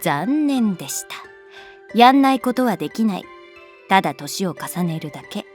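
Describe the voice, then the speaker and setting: Woman playing a wry, laid-back teacher, lively intonation. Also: mid-range voice, moderate pace, soft background music, close to an everyday voice. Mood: wry, teasing. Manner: casual, teasing, nonchalant. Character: wry, laid-back adult female schoolteacher, quietly indulgent. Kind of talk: Japanese voice drama acting, natural dialogue.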